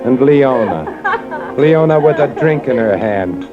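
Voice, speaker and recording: An actor playing a cold, hard-boiled newspaper columnist in a 1940s radio drama, terse and bitter, on a muffled old recording. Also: male voice, medium pitch, expressive, slow, music in the background, crowd noise. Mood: bleak, weary. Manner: terse, bitter, noir-style delivery.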